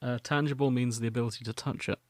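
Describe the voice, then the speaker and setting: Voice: medium pitch, steady tone, fast, darkish tone, no room sound. A podcast co-host making dry, wry asides in a casual comedic chat.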